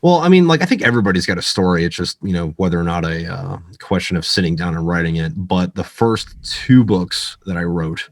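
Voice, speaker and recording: Deep-voiced, salty American man. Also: lively intonation, brisk, hardly any pauses, no room sound. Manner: salty, frequent profanity, slangy filler-heavy delivery. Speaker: author guest, military veteran. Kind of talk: casual podcast chat, rambling storytelling.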